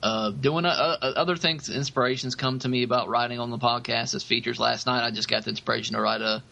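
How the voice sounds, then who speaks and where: A man co-hosting a podcast, chatting casually with halting, hesitant phrasing. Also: medium pitch, steady tone, vocal fry, bright, forceful tone, slight background noise. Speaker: podcast co-host.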